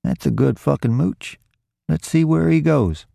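Crime audiobook role: man playing pushy male pickpocket, street-tough, insistent, lifted pitch, gravel underneath, dry sound. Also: a little slow, warm tone, no room sound, exaggerated voice. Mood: sly. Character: pushy, hard-boiled pickpocket, an adult male. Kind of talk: acted dialogue in a crime story reading.

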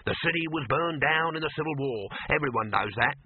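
Man playing a smug, husky movie mogul. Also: a little high, a little fast, no room sound, pitched above the performer's own voice. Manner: smug, unflappable, brash. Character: smug, calm, scheming male movie mogul. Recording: narrow phone-like radio sound.

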